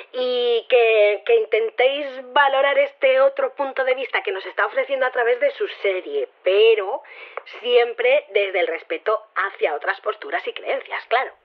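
An actress with a Spain Spanish accent playing an earnest, conversational woman debater in an audio drama. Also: high-pitched. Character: earnest woman debater defending her community festival. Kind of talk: naturalistic audio fiction acting. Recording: thin phone-like radio line.

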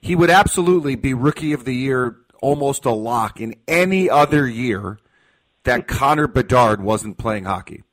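An American man hosts a sports talk show with a medium-pitched voice. He argues his points emphatically, repeating key phrases for weight. His delivery is that of an opinionated radio host. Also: animated, normal speed, fairly bright tone, rough recording.

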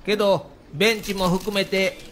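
Man acting a bright, high-voiced Kansai baseball manager. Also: level tone, moderate pace, slight background noise. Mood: rueful, conceding. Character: humble, wry middle-aged male baseball manager.

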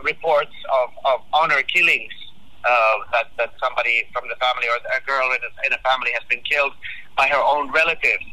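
Bright-voiced man on a phone line. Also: mid-range voice, fairly even intonation. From Finland. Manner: halting, repeats words often. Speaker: guest commentator. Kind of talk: radio interview.

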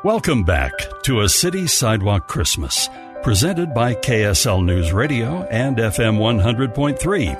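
A man's voice: mid-range voice, sing-song, a little slow. A man narrating a scripted radio story as the programme's announcer. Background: music.

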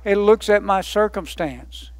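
A man's voice: high-pitched, a little slow, dry recording. A man preaching a sermon, emphatic, building lists by repetition and asking rhetorical questions.

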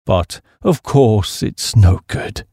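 Man voicing low, gloomy young English gent. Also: animated, slow pace, mellow, breathy edge, no echo, clean studio sound, heavily acted. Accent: British. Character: gloomy, broke young English gentleman, dejected.

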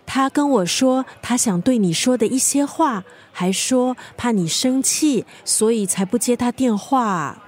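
A bossy best friend in a radio drama. Her high voice carries a Singaporean accent, and she talks with playful, probing wryness. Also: expressive, unhurried, few pauses. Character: teasing, nosy, bossy female best friend. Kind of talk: naturalistic radio drama dialogue.

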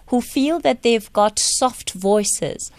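Slow-talking radio host. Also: female voice, a little high, no echo. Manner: warm, chatty, self-mocking. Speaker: radio talk show host. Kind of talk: radio interview chat. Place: radio studio. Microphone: studio microphone.

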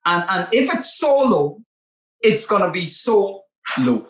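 A man's voice: high voice, big pitch swings, slow, a bit bright. A man co-hosting a Bible talk show, speaking emphatically and repeating words to drive his points home. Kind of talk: preacherly faith talk. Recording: roomy echo.

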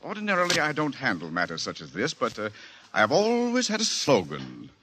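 A male actor playing a pompous, condescending bank vice president with an American accent, declaiming briskly in a 1940s radio comedy. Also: medium pitch, huge pitch swings, bright, forceful tone, slightly rough, heavily acted. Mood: pompous, explaining.

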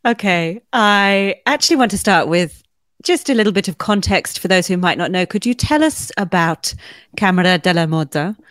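A woman with an Australian accent hosting a podcast in a bright, chatty way. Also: mid-range voice, animated, moderate pace, no room sound, clean studio sound. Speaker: podcast host.

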